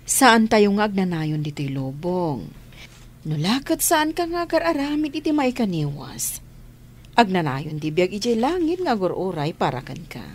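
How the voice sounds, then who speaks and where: An actress with an Ilocano accent playing a gentle, devout religious sister who comforts and counsels in a radio drama. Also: female voice, medium pitch, big pitch swings, normal speed, slight background noise, natural voice. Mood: comforting, devout.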